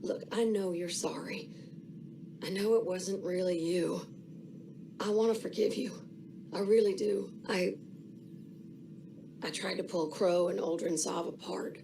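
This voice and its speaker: A woman's voice: fairly low pitch, steady tone, a little slow, gravelly, pauses often, dark tone, slight background noise. An actress with a Southern American accent playing a plucky, guarded, plain-spoken young female fighter in a video game. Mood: hurt, guarded. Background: music.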